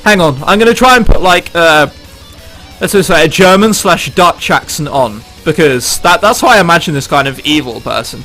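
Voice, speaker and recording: A man hosting a visual novel playthrough, reading aloud and commenting in an excitable, irreverent, sweary way. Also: on the high side, normal speed. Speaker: let's play host. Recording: game music behind the voice.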